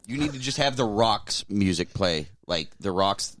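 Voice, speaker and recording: A man co-hosting a casual podcast, loud and teasing, swearing freely in banter with friends. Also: lowish voice, animated, normal speed, no room sound, studio quality. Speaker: podcast co-host. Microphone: studio mic with headphones.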